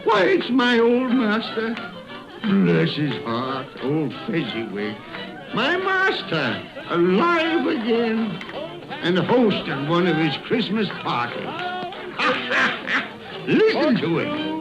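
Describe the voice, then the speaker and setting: A man's voice: high voice, wildly swooping pitch, slow pace, exaggerated voice. An actor playing a frightened, remorseful old miser in a radio drama, exclaiming, stammering and repeating his words. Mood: delighted. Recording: muffled radio broadcast with music underneath.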